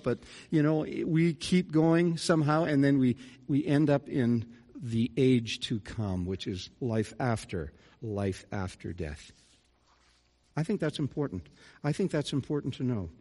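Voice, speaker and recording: A man's voice: a little high, darkish tone. A middle-aged man, a pastor delivering an earnest, conversational sermon with folksy asides. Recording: reverberant church hall.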